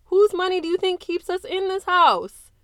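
A woman's voice: mid-range voice, animated, unhurried, fairly bright tone, no room sound, clean studio sound. A woman voicing a stern middle-aged mother who scolds in a cold, cutting way. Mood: scornful.

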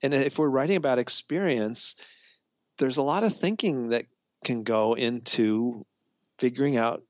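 Mid-pitched man on slightly dull audio. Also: measured pace, dry recording. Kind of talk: reflective conversational interview answer.

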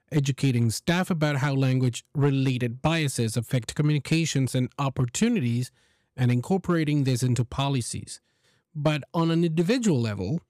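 A man's voice: medium pitch, a little mellow, no echo, studio quality. A man hosting a podcast introduces a clip and gives his opinions in an earnest, emphatic, persuasive way. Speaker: podcast host. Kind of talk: casual podcast commentary.

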